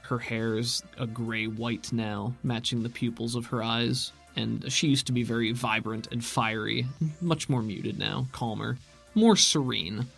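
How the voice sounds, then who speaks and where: A man narrating a tabletop roleplaying game as game master in a descriptive, measured storytelling manner. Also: medium pitch, fairly even intonation, vocal fry, a bit bright, breathy edge, soft background music.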